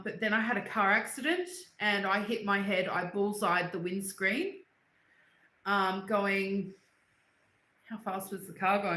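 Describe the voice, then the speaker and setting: Woman chatting on a livestream, bright tense voice, rambly, reads chat out, roomy echo. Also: mid-range voice. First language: not English. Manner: rambling, personal, answers viewer comments. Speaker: livestream host reading chat. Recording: echoey room.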